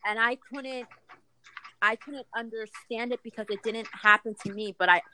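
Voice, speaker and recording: A woman's voice: a little high, steady tone, normal speed, sharp tone, slight background noise, low-quality recording. Emphatic woman hosting a group chat. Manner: emphatic, agreeing often. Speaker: host. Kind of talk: casual group discussion.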